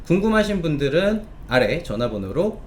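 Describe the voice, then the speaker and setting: A man presents stock picks as the host of an online review show. His voice sits a little high and swings animatedly up and down. He speaks politely and formally, stretching hesitations between phrases, with noticeable ambient noise behind him. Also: sing-song, moderate pace, darkish tone.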